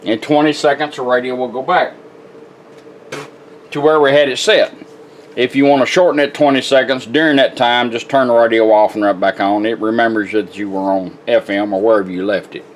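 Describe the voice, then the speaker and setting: A man's voice: medium pitch, fairly even intonation, fairly quick, slight background noise, low-quality recording. A plainspoken, folksy man giving a casual product demonstration as a radio restorer. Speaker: radio restorer presenting his work.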